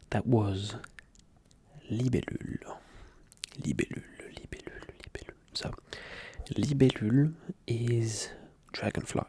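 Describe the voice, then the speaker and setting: A soft-spoken man whose first language is French talks gently into a close mic, often slipping into whispers. His voice is husky and breathy, mellow in tone, with a dry sound and no room around it. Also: medium pitch, no echo. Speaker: ASMR creator. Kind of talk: soft-spoken ASMR talk.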